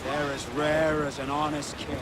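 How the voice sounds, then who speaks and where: An actor playing a seasoned, commanding pirate captain with a declamatory delivery in a video game. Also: male voice, a little high, little pitch movement, normal speed, very noisy, lightly acted. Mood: easy authority. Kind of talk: video game cutscene acting.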